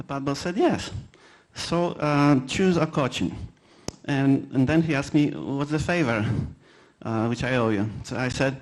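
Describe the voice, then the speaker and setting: A man with a Polish accent, a native Polish speaker, giving a conference talk in a halting way, with many fillers and restarts and self-deprecating anecdotes. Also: medium pitch, a little mellow. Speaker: conference presenter.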